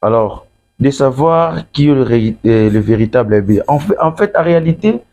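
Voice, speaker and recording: Congolese guy hosting an interview, low-key dark tone, keeps chiming in and repeating stuff back. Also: lively intonation, moderate pace. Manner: interjects often, echoes the guest's words. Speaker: interviewer, host. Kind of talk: conversational interview, mixing French and Lingala.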